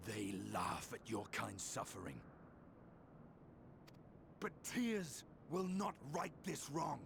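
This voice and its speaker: A man's voice: on the high side, gravelly, background noise, full character voice. An actor playing a proud, stern elf man in a fantasy game, speaking in formal, theatrical oratory. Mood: indignant. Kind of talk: dramatic fantasy game acting.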